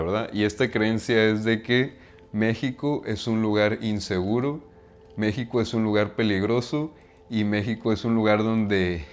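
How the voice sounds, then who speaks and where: Give me a Mexican-accented man with a fairly low voice giving a relaxed, conversational self-help talk. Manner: conversational, often tags sentences with a questioning "right?", uses slang and swears. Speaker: self-improvement vlogger. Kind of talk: casual monologue to camera.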